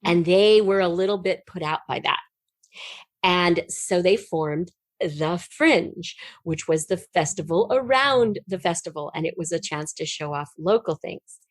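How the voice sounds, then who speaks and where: A middle-aged woman speaking as an interview guest, telling stories conversationally in an animated, emphatic way. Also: fairly low pitch, a little slow, no echo. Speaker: guest, writer and performer.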